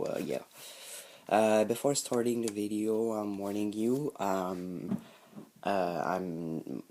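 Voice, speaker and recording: A young man with a deep voice and a French Canadian accent, speaking English as a second language. He talks slowly and haltingly, full of ums and restarts, as he walks through a build in a casual tutorial. A faint noise runs under the recording. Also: a little mellow. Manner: hesitant, many ums and restarts. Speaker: hobbyist video maker. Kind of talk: casual show-and-tell tutorial.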